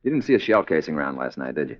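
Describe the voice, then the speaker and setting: A man's voice: a bass voice, big pitch swings, rapid-fire, full character voice. An American man playing a dry, laconic police forensic expert in a 1950s radio drama. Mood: dry, analytical. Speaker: crime lab technician. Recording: muffled 1950s radio recording.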